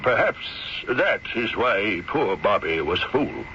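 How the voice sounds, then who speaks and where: An actor playing a courtly, sly man posing as a gem professor in a radio mystery drama, theatrical and measured. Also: lowish voice, big pitch swings, bright, forceful tone, full character voice. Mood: regretful, learned. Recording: dull, slightly noisy old radio recording.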